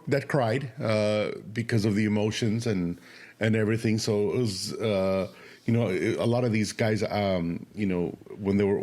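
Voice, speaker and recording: A hoarse man co-hosting a podcast, rambling casually with many fillers. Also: on the low side, a little mellow. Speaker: podcast co-host. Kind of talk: casual chat.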